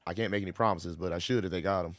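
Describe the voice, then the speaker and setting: Hoarse guy rambling on a livestream, dry close mic, kinda sick sounding. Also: low voice, very fast, no echo. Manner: relaxed, rambling, Southern-inflected "y'all". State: hoarse from a cold. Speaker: livestream host. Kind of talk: casual livestream chat.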